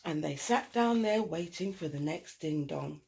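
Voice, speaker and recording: Slow, husky woman storyteller. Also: low voice, animated. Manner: dramatic, repeats words for effect, hushed list-like asides. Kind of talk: expressive children's picture-book reading.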